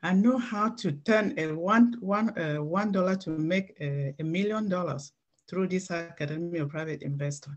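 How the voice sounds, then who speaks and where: A middle-aged woman giving an earnest, halting personal testimony before a training presentation. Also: lowish voice, lo-fi sound. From Cameroon.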